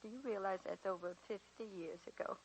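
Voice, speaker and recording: An actress playing a warm, eager small-town schoolteacher in a 1941 radio drama. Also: female voice, mid-range voice, steady tone, fairly quick, husky, rough recording. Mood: nostalgic. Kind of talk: 1940s radio drama acting. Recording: 1941 radio broadcast recording.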